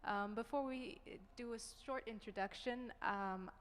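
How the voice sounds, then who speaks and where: A woman's voice: fairly high pitch, moderate pace, a little hiss or hum. A young woman hosting a casual live interview, speaking in a hesitant, conversational way. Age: young adult. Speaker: interviewer, event host. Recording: long hall reverb.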